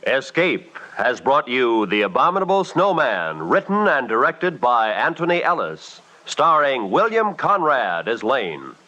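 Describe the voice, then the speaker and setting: A man's voice: medium pitch, bright, forceful tone, dull audio. A man with an American accent announcing in a formal 1950s radio style. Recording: old radio broadcast.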